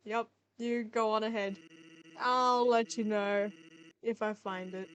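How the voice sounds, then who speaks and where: Drowsy male skeleton sentry, voiced by a woman, laid-back, higher-pitched than usual. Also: fairly even intonation, slow, poor audio. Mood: lazy.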